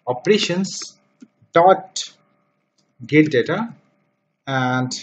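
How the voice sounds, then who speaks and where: Man, coding tutor, slow dictation, fairly high voice, lo-fi mic, faint hiss. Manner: slow, step-by-step dictation of code with fillers. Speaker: programming tutor. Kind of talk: screen-recorded coding tutorial. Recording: dull lo-fi mic with faint noise.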